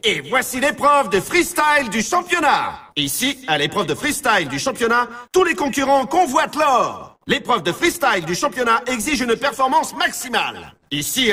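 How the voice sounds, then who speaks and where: A man playing an excitable race announcer in a snowboarding video game, emphatic and talking straight to the player in a familiar, needling way. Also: sits high, husky, recorded from a distance, heavily acted. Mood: hyped, urgent. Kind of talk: hyped sports commentary for a video game.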